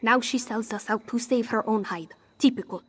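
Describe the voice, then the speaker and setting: Sardonic, high-voiced cat-woman rogue. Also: normal speed, fairly bright tone, breathy edge. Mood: wry, resigned. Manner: theatrical, sardonic, speaks of herself in third person. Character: wry, proud cat-folk woman smuggler, stubbornly vengeful. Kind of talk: scripted fantasy game dialogue.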